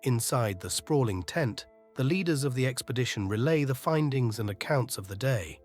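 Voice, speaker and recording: A man's voice: mid-range voice, expressive, normal speed, a little mellow, faint music behind. A man narrating a scripted audio drama in a literary, atmospheric storytelling manner.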